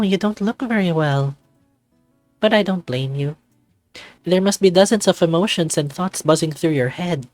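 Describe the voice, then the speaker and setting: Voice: mid-range voice, dry recording, pitched above the performer's own voice, lightly acted. A performer, a man, voicing an anxious, doting mother in a furry visual novel, fussing and motherly. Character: anxious, doting furry mother fretting over her son. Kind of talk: visual novel character reading.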